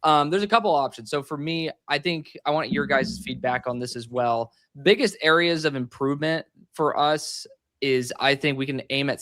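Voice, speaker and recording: A young man speaks at a medium pitch over a dry, close-sounding mic. His talk is relaxed and unhurried, full of fillers and restarts. He reasons through his picks out loud as he goes. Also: no echo. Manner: relaxed, laughs easily, thinks aloud with fillers. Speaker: guest mock draft analyst. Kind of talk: casual live show chat.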